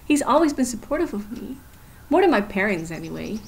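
A woman's voice: on the high side, very animated, a little fast, vocal fry, rough recording. An actress playing a hurt but determined young woman in an audio drama, confiding and emotional. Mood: anxious, reflective. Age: young adult.

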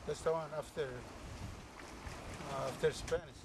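An older man, a master navigator whose first language is Chuukese, speaking haltingly in English in a casual chat on a sailboat at sea. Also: a little high, fairly even intonation. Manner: halting, with frequent pauses and fillers. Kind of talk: casual conversation. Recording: loud wind and sea noise.

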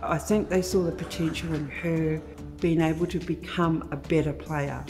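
A woman's voice: deep voice, steady tone, normal speed. A young woman recalling a teammate's start in rugby, casual and anecdotal, in a documentary interview. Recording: music underneath.

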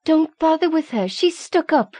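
Woman voicing a shrill, sneering schoolgirl bully. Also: lively intonation, moderate pace, darkish tone, dry recording. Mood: sneering, spiteful. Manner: hissing, taunting.

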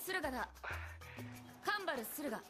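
A brash tomboy schoolgirl from a comic anime. She announces herself boldly in a high, very lively voice. Music is clearly heard behind her. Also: normal speed. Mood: cheeky, proud. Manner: bold, proudly announcing.

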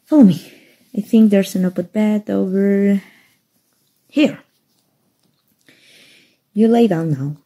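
A woman acting a kind woman rescuer in an ASMR roleplay. Her voice is very breathy and husky, dark and soft, with some gravel in it. She speaks gently and earnestly, reassuring the listener. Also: medium pitch, slow, pauses often. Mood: gentle, helpful. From Mexico.

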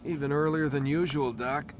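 An actor playing a sarcastic, sullen young captive in a video game, casual and sardonic. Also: male voice, a little high, fairly even intonation, normal speed, background noise, natural voice. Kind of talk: casual cinematic game acting. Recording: music behind.

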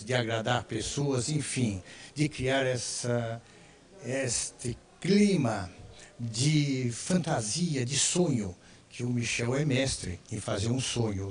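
A man's voice: mid-range voice, slow. An older man giving a spoken tribute in a TV interview, indoors into a handheld microphone. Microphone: handheld reporter microphone close to the mouth.

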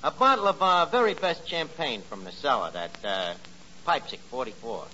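An actor playing a pretentious, dim, lovestruck tavern manager in 1940s radio comedy, mangling highfalutin phrases. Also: male voice, animated, moderate pace, bright, forceful tone. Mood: blustering. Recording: muffled 1940s radio recording with hiss.

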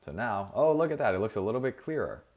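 A man narrating a screen-recorded coding tutorial in a casual, relaxed way, with frequent fillers and self-corrections. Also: on the low side, fast. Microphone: voice-over over screen recording.

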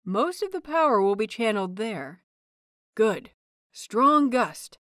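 A woman voicing a cheerful young man who teaches small children, praising and instructing them brightly in an audio story. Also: on the high side, very animated, slow pace, no room sound, studio quality, heavily acted. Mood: instructive.

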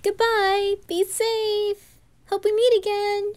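Kind young anime boy, female performer: high voice, slow soft delivery, reassuring and sincere. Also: flat delivery. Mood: cheerful. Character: gentle, kind-hearted, fearless young boy adventurer. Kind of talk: anime fan roleplay ASMR.

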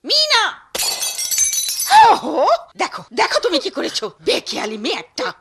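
A woman's voice: high voice, huge pitch swings, a little slow, background noise, exaggerated voice. A woman playing a bossy, two-faced housewife in a cartoon, with exaggerated delivery. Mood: scolding, exasperated. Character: scolding, two-faced middle-class housewife employer. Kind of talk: cartoon voice acting.

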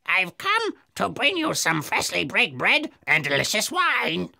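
A woman voicing a sly storybook wolf who poses as a sweet girl or granny, in a coaxing, sugary falsetto with a raspy edge. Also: huge pitch swings, measured pace, sharp tone, full character voice. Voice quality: shrill falsetto over a raspy voice. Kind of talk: children's storybook audio acting.

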